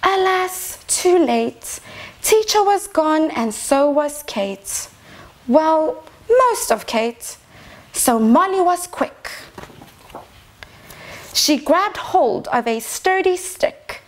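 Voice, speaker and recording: A young woman reads a children's story as a teacher would for her youngest class. Her voice is high and swings widely in pitch. She takes her time, shaping the words expressively and inviting the children in. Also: extremely slow. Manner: expressive, prompting children with questions. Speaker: infant teacher reading a storybook. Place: small decorated indoor reading corner.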